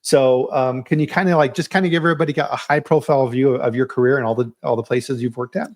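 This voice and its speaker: A man hosts a live chat in a relaxed, conversational way. He often stumbles and restarts his sentences. His voice sits in a middling pitch. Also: fast talker. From United States. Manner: chatty, restarts and stammers. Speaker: podcast host.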